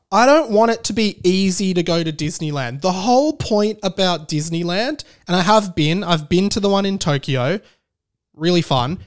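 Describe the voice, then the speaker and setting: A man's voice: high voice, clear, resonant tone. A young Australian man hosting a podcast in a casual, opinionated rant, making his points emphatically and animatedly. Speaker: podcast host.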